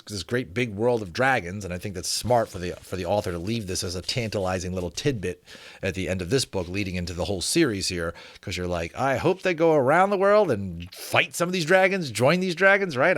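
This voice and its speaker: A man reading a book aloud as its host, in an expressive, emphatic, dramatic storytelling style with a casual touch. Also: medium pitch, dry recording, studio quality. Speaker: host reading a novel aloud with his own asides.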